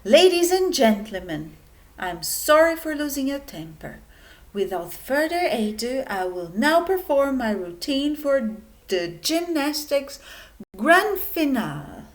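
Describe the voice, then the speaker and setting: A woman's voice: sits high, sing-song, slow pace, pitched above the performer's own voice, heavily acted. A woman voicing an earnest, hot-tempered young male pig athlete in a dramatic picture book read-aloud. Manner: emphatic, dramatic.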